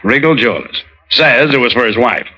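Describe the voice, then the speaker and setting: Casting: blunt radio cop lieutenant, very bright hard-edged voice, mid pitch, dry sarcasm. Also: male voice, lively intonation, brisk, dull audio. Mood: matter-of-fact. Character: gruff, sardonic male police lieutenant. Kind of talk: 1940s-50s radio crime drama acting.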